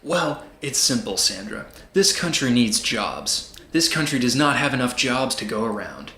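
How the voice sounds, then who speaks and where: Guy doing a slick smug politician in a comedy radio play, flirty n dodgy, sharp bright voice, roomy echo. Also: medium pitch, sing-song, moderate pace. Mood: smug, glib. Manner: glib, smug, repetitive sloganeering. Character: smug, flirtatious male presidential candidate, evasive and innuendo-laden. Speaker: politician being interviewed. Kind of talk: comedic audio drama, mock TV panel interview. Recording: roomy echo, faint background noise.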